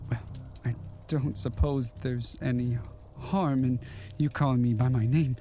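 A man acts a melancholy stranger out of the past in a horror audio drama. He is mellow and mid-pitched, courteous in an old-fashioned way, stammering softly over faint music. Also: fairly even intonation, measured pace, close to an everyday voice. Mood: yearning, eerie.

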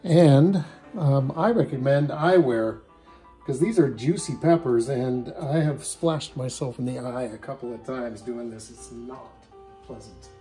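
An older man hosting a home cooking show, explaining each step in a relaxed way with frequent pauses, in a kitchen and then out at a backyard grill. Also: mid-range voice, rough recording. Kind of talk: casual cooking demonstration. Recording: music playing underneath.

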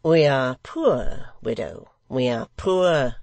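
A man's voice: mid-range voice, animated, slow, gravelly, a little mellow, no echo. A man voicing a sly, mock-courteous blind extortioner in a theatrical, insistent storybook reading.

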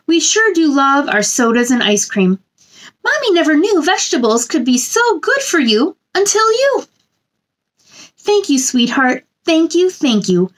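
A woman in her thirties doing a children's read-aloud, with a gentle sing-song delivery and chatty asides addressed to young listeners. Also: sits high, sing-song, measured pace. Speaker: children's storytime host reading a picture book aloud. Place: small indoor room.

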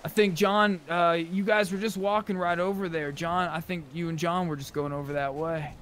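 A man's voice: on the high side, steady tone, normal speed, fairly bright tone. A man talking in improvised in-character chat during an online roleplay game, over a voice chat microphone. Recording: faint background noise on game voice chat.

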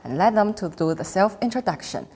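A woman's voice: medium pitch, lively intonation, brisk, darkish tone, slightly rough. A middle-aged woman hosting a panel interview in simple, non-native English phrasing. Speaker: host. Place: large indoor cafe-like room.

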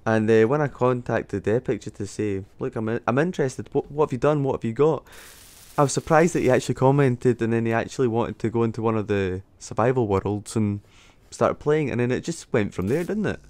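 A man's voice: medium pitch, a little fast, a little mellow, no room sound. Easygoing man chatting. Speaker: co-host gamer. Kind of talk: casual co-op gameplay chat.

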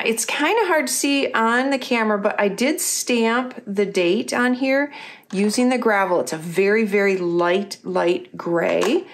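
A middle-aged woman, a little husky and losing her voice, chatting casually as she walks through her planner pages. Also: mid-range voice. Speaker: craft company host. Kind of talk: casual craft tutorial voice-over.

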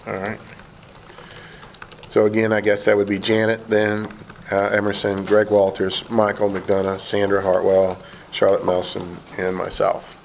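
A hoarse man chairing a committee meeting, talking haltingly with many fillers and restarts in a large meeting room. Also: on the low side, completely flat delivery, slight background noise.

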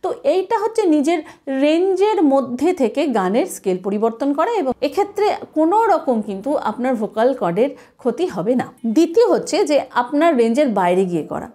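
A woman's voice: high voice, big pitch swings, brisk, dark tone. A woman in her thirties with an Indian Bengali accent, teaching a music lesson to camera in an emphatic, step-by-step way. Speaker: music teacher, channel host. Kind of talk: explanatory vocal lesson to camera.

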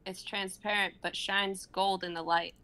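A woman's voice: medium pitch, flat delivery, a little slow, sharp tone, smooth. A woman in her twenties reading stage directions aloud as narrator in a dramatic script reading. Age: 20s. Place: home room on a group video call.

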